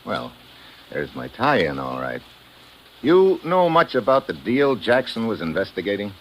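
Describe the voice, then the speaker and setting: An actor playing a tough, wry American investigator in a hard-boiled 1950s radio detective drama, blunt and slangy. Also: male voice, on the low side, very animated, unhurried, slight background noise, poor audio. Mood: probing, wry.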